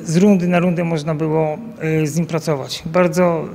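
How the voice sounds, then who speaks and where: Hesitant man, slightly high voice. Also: little pitch movement, normal speed, vocal fry, darkish tone, big-room sound, slight background noise. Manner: hesitant, many fillers and restarts. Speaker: national team coach. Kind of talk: interview answers. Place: outdoors by a banner at an event. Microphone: handheld mic held by interviewer.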